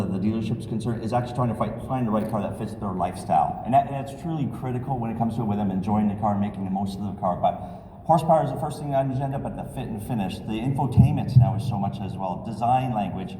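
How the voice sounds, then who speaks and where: A man who runs a car dealership, answering briskly and upbeat, fond of saying "for sure.". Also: on the low side, mellow. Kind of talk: casual interview answers. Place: large indoor auto show hall. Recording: echoey hall, distant mic, faint crowd noise.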